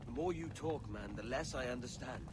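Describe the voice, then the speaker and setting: A man plays a brash young pirate captain with a blunt, rough-edged way of talking. He sits in a middling pitch, and game noise clatters steadily behind him. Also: moderate pace, natural voice. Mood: gruff. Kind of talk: video game cutscene acting.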